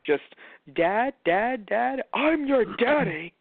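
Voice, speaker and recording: A man's voice: very high-pitched, very animated, slow pace, vocal fry, phone-quality sound. A man from New York co-hosting a show, rambling through a casual, comic film review with a jokingly whiny streak and acted-out mock quotes. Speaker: radio show co-host reviewing films.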